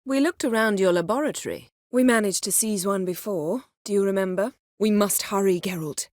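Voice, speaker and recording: An actress playing a proud, sharp-tongued, guarded sorceress in a video game, speaking in a cool, clipped, dryly sardonic way. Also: female voice, mid-range voice, moderate pace, no echo, clean studio sound, natural voice. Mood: measured, serious. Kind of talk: scripted game dialogue acting.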